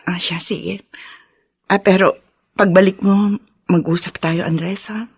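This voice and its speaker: A wistful, gently hesitant woman in a radio drama. Her voice is husky and creaky, recorded very dry, with soft, earnest phrasing. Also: mid-range voice, steady tone, takes it slowly, no room sound. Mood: hesitant, tender. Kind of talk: Filipino radio drama acting.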